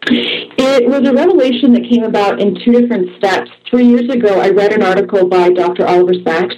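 A woman's voice: fairly low pitch, lo-fi sound. A woman, a Russian speaker raised in the US, answering interview questions in an eager, chatty way. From former Soviet Union, raised in the US. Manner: eager, chatty storytelling with fillers. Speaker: interview guest, author.

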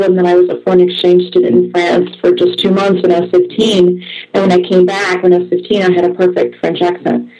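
A woman's voice: lowish voice, rough recording. Chatty woman, eager storyteller. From former Soviet Union, raised in the US. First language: Russian. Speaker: interview guest, author. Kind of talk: casual interview answers.

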